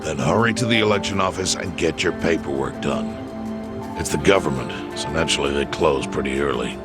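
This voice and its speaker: An actor playing a shrewd, composed chairman in a game cutscene, explaining his schemes in a measured, persuasive way. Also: rumbling low. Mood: firm, persuasive. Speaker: chairman in a crime drama game. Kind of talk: dramatic game cutscene acting. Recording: loud music behind the voice.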